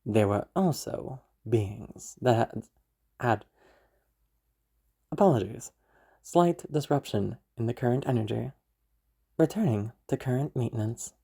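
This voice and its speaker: Woman performing a very low, courteous storytelling machine. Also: dark tone, husky, heavily acted. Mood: apologetic, mechanical. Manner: measured, courteous, theatrical. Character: formal magical storytelling machine that glitches into menace. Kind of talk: scripted narration.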